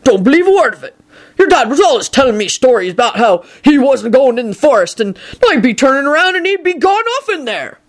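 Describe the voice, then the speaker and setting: Storybook giant gamekeeper voiced by a woman, gruff and protective, exclamatory, very animated delivery. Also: high-pitched, brisk, voice pushed up. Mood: suspicious. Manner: rustic, scolding, exclamatory.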